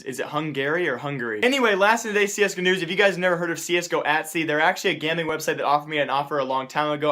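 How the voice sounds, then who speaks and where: A man's voice: on the high side, a bit bright. A man hosting casual esports news commentary, speaking informally and often repeating points for emphasis. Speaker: host of an esports news show.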